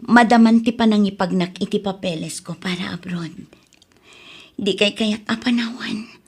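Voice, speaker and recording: An actress plays a young mother in a radio-style family drama, her voice soft and earnest. She talks in an everyday middle pitch. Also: normal speed, breathy edge. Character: young wife and mother leaving for abroad. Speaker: wife and mother.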